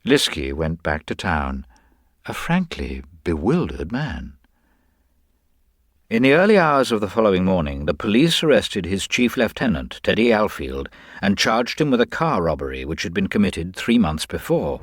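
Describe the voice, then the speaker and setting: A man's voice: sits low, very animated, normal speed, no room sound, clean studio sound. A man reading scripted, dramatic narration of a crime story.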